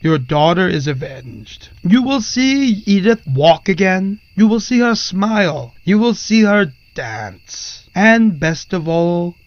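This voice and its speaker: An actor playing an ancient spirit in a possessed body, speaking with formal, archaic, menacing grandeur in a horror audio drama. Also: male voice, high-pitched, very animated, takes it slowly, hardly any pauses, no room sound, dull audio, full character voice. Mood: cold, commanding. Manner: formal, archaic, theatrical diction.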